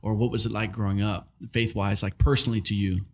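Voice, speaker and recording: Gravelly, husky podcast host. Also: male voice, fairly low pitch, level tone, fast, a little mellow. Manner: rambling, eager questions with many likes. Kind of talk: casual interview talk.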